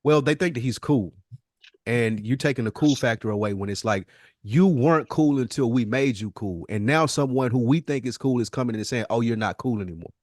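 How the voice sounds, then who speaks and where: A man's voice: mid-range voice, fairly quick, no room sound, studio quality. A man co-hosting a podcast, debating and telling stories about hip-hop in an emphatic, slangy, casual way, often repeating himself for emphasis. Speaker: podcast co-host.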